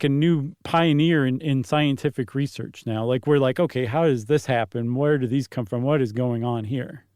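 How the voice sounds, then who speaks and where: Man with a higher-pitched voice, chatting science. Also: a little mellow, no echo, studio quality. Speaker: podcast co-host. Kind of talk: casual explanatory science chat.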